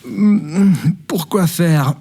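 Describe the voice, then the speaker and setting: A man's voice: high-pitched, drawn-out words, vocal fry, mellow, slightly rough, heavily acted. An actor playing a listless, confused young man in an audio drama, hesitant and mumbling. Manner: short mumbled replies, hesitant. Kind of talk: French audio drama acting.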